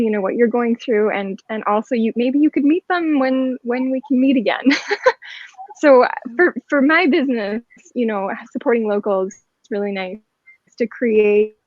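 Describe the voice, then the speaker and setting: A woman's voice: on the high side, dry recording. A woman who teaches an online studio, speaking reflectively on a panel with many fillers, over a video call. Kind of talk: casual panel chat. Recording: dull dry video call audio.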